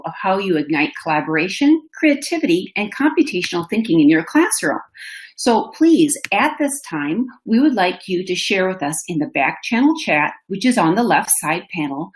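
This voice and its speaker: A woman with a Minnesota accent hosting an online education webinar, upbeat and effusive, speaking over a video call. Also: medium pitch. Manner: upbeat, effusive, addresses audience directly. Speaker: webinar host. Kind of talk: enthusiastic webinar presenting. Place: indoor room, webcam.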